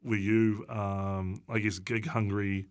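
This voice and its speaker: A man with a New Zealand accent talks as a guest comedian in a casual interview. His delivery is halting and full of pauses, with a dry, self-deprecating manner. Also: on the low side, flat delivery, clear, resonant tone. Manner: halting, hesitant delivery with long drawn-out pauses.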